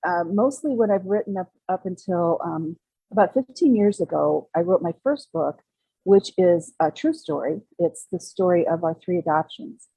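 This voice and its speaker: A woman in her sixties, a guest author telling personal stories in a casual, halting conversational way. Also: lowish voice, mellow, breathy edge, no echo, rough recording. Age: 60s. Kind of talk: casual interview, personal storytelling.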